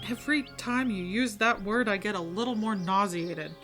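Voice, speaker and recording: A woman's voice: mid-range voice, moderate pace, a bit bright, faint music behind, natural voice. A woman playing an impatient, sardonic schemer in an audio drama, easily exasperated.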